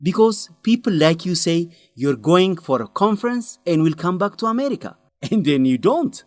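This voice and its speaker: An earnest young guy in a radio play, fairly high voice that swings up and down a lot, lively and scolding, recorded close and dry with no room sound. he presses his friend hard and sounds sure of his own principles. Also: normal speed, no room sound. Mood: pleading, scolding. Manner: animated, urging, scolding. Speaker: friend and fellow researcher. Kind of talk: radio drama acting.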